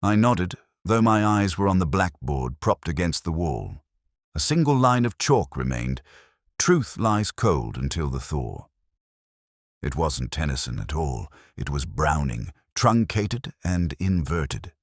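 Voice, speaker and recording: A man reading a first-person literary detective mystery in a measured, reflective, melancholy way. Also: very deep voice, sing-song, no room sound, studio quality.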